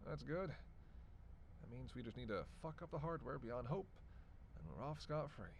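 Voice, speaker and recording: An actor playing a reluctant, sardonic vampire in an audio roleplay, sarcastic and grumbling, swearing freely. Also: male voice, medium pitch, animated, a little slow, creaky, a little hiss or hum. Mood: muttering, cynical. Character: sardonic, reluctant male vampire, hungry, cursing, teasing. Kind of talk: solo audio roleplay addressed to the listener.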